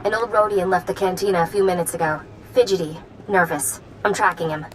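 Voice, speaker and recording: An actress playing an earnest, resourceful informant in a video game, speaking in short, clipped sentences. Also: female voice, on the low side, steady tone, fairly quick, slight background noise, close to an everyday voice, rough recording. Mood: urgent warning.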